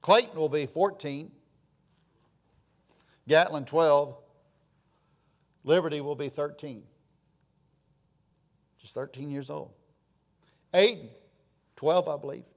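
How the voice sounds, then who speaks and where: Slow-preaching pastor in his sixties. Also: on the high side. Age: 60s. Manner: slow, repetitive preaching with rising emphatic swells. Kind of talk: sermon.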